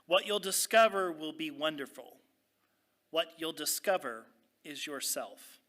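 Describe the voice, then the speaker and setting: A man's voice: fairly high pitch, expressive, a little slow, pushed and bright. A man reading inspirational quotations aloud at a spiritual service.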